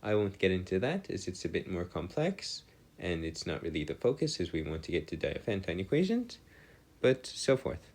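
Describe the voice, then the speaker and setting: Male tutor, deep voice, very varied intonation, informal lecture, formal wording, self-correcting. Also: sing-song, normal speed, a little mellow. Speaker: maths tutor. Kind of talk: informal lecture working through equations.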